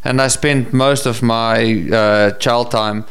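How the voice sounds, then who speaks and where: A man's voice: mid-range voice, fairly even intonation, slow, fairly bright tone, clean tone. A man with a South African accent answering interview questions conversationally as a guest. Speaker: professional hunter and safari business owner, interview guest.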